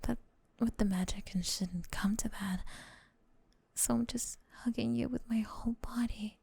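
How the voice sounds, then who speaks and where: A woman's voice: a little high, fairly even intonation, measured pace, creaky, warm tone, breathy edge, no room sound, full character voice. A woman voicing a doting, possessive snake-girl girlfriend with sweet menace, in an intimate, coaxing ASMR roleplay that often drops to a whisper. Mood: possessive, smug.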